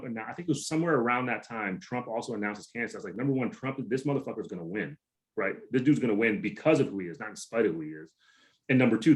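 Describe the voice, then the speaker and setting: A man with a fairly low voice talks through a dense academic answer. He speaks casually and often repeats words as he restarts his thoughts. He leans on theoretical terms, sounding like a scholar speaking over a video call. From United States. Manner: conversational, many restarts and hedges, theoretical vocabulary. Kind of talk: academic talk answering questions.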